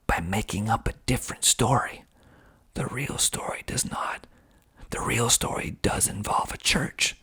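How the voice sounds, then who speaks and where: Slow, breathy whispering man, ASMR style. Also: lowish voice. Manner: slow, deliberate, hushed delivery. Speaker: filmmaker reading reviews of his movie. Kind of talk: whispered ASMR reading and commentary.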